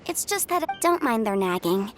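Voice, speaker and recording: High-voiced, caring young townswoman. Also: sing-song, moderate pace, soft background music. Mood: gentle concern. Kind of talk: English anime game voice acting.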